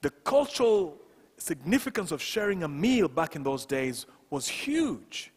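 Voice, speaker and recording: A man in his thirties preaching a sermon as a pastor, emphatic and deliberate, repeating phrases for stress, on a headset microphone in a church. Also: a little high, big pitch swings, husky. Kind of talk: sermon to a congregation. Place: church stage, indoors. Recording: reverberant hall sound.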